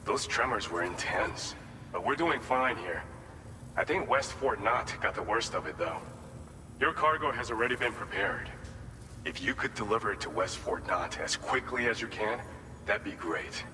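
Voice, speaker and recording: An actor playing a practical, friendly distribution center staffer in a video game, briefing in an earnest, matter-of-fact, encouraging way. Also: male voice, on the low side, expressive, moderate pace, sharp tone. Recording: long reverberant hall, clear background noise.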